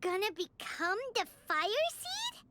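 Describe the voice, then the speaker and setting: Paimon, a squeaky fairy sidekick. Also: female voice, wildly swooping pitch, takes it slowly, background noise, exaggerated voice. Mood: alarmed. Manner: squeaky, shrill, exclaiming. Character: tiny floating female fairy companion, excitable, fretful. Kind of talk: video game voice acting.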